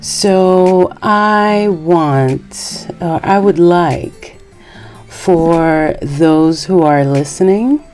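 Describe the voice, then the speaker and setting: A woman podcast host, born in Haiti and raised in New York, giving a measured, earnest personal monologue. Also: on the low side, a little mellow, soft background music.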